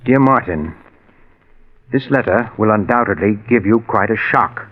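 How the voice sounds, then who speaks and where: An actor playing a distressed man who fears for his sanity, speaking haltingly in 1940s radio style. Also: lowish voice, expressive, phone-quality sound. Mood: bewildered, troubled. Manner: halting, bewildered. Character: distressed man Martin, fearing his mind is failing. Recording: old radio drama recording, slightly husky sound.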